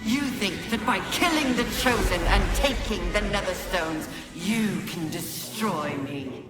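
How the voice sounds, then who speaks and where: A woman playing a menacing, contemptuous godlike brain monster in a video game, imperious and deliberate. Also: medium pitch, lively intonation, natural voice. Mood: scornful menace. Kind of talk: villain acting in video game. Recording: echoing voice under loud eerie music.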